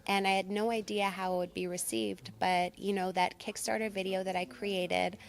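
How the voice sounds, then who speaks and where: A woman's voice: mid-range voice, flat delivery, moderate pace, gravelly. Interview guest: woman, conversational and upbeat, explaining her own product. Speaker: product creator being interviewed.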